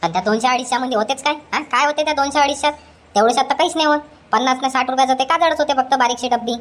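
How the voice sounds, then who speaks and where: Woman playing a whiny cartoon daughter-in-law, talks back quick and high. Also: lightly acted. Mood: arguing. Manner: whiny argumentative back-talk. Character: sulky bickering young daughter-in-law. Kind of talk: cartoon comedy voice acting.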